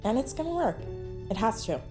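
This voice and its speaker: A woman's voice: a little high, very animated, brisk, music in the background. An actress playing a bold, playful young woman who slays monsters in an audio drama, with a teasing, dramatic manner. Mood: playful resolve.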